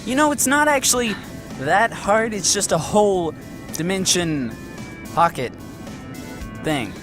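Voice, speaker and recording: An actor playing a defensive know-it-all young man in an audio drama, casual and quick to interrupt with corrections. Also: mid-range voice, lively intonation, over music, close to an everyday voice. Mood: defensive, explaining.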